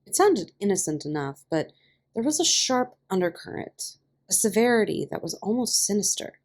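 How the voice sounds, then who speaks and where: A woman reading a story aloud as a podfic narrator, with expressive scripted delivery. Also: mid-range voice, normal speed. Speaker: podfic reader.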